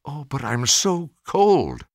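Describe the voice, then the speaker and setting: A kindly, needy street woman in a storybook, put on by a man. The delivery is soft and pleading, with wide melodic swings and a dry sound. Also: a little high, huge pitch swings, takes it slowly, vocal fry, no echo, voice pushed up, exaggerated voice. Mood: shivering, pleading. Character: cold, needy woman beggar, kindly and grateful.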